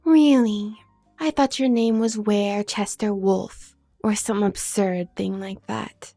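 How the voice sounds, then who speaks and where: A woman playing a haughty, manipulative younger werewolf sister from a noble family in an audio drama, speaking with condescending, needling smoothness. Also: medium pitch, steady tone, slow, warm tone, faint music behind. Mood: cool, mocking. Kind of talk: scripted audio roleplay drama.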